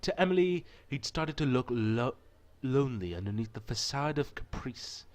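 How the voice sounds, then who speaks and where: A man with a mid-pitched voice hosts a casual let's play. He reads the story text aloud as narrator and breaks off into playful, irreverent asides of his own. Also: lively intonation, normal speed. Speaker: gaming video host.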